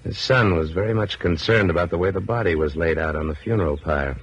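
An actor playing a tough, steady rancher hero who narrates a radio western in a terse, commanding manner. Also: male voice, low voice, fast, breathy edge, highs cut off. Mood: calm, resolute. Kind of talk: 1950s radio western acting. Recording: vintage radio drama recording with music.

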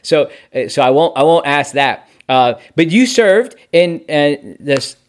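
Guy hosting a podcast, kinda high voice, stumbles into his questions. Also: lively intonation, unhurried. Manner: eager, stammers and restarts questions. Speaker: podcast host. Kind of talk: casual interview hosting.

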